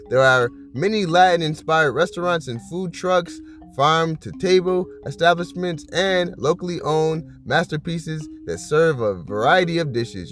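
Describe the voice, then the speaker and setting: A man hosting a casual video, talking loosely with fillers and frequent laughs, reading list items aloud, his voice bassy from just waking up. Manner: rambling, laughs often, many fillers. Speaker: vlogger host.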